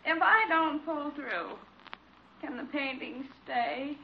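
High, slow, moaning, self-pitying patient. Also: female voice. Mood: pleading. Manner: moaning, plaintive, theatrical. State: groaning as if in pain. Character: plaintive, self-pitying female hospital patient, melodramatic. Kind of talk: old-time radio drama acting. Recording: dull, noisy vintage radio recording.

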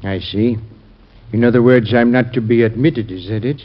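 An actor playing an ageing, proud and wounded secretary in a 1940s radio drama. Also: male voice, mid-range voice, fairly even intonation, normal speed, natural voice. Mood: hurt, indignant. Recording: muffled old radio recording.

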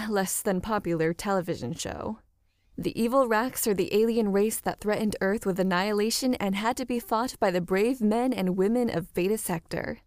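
A woman's voice: mid-range voice, a little mellow, dry recording. Woman narrating fiction in a dry recording. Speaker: audiobook narrator. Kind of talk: scripted story narration.

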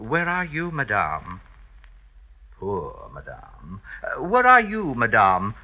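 A man's voice: medium pitch, huge pitch swings, slow pace, heavily acted. An actor playing a fawning, scheming male servant in a 1950s radio drama, obsequious, hesitant and theatrical. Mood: sly, conspiratorial. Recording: dull vintage radio recording.

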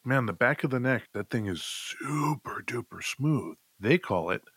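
A man's voice: mid-range voice, expressive, a little slow, fairly bright tone, husky, dry recording, soft background music. A man co-hosting a casual gear chat, explaining things informally with some light joking. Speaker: podcast co-host reviewing guitar gear.

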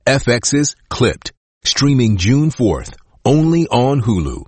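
A male announcer giving a smooth, measured scripted ad read. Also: medium pitch, very animated, darkish tone, no room sound.

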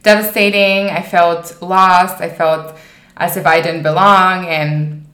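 Roomy recording, young woman in her 20s, a bit low, telling her story on a podcast, says you know a lot. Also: fairly even intonation, slow, bright, forceful tone. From Mexico. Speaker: podcast guest. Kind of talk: personal storytelling in an interview. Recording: roomy, echoing sound.

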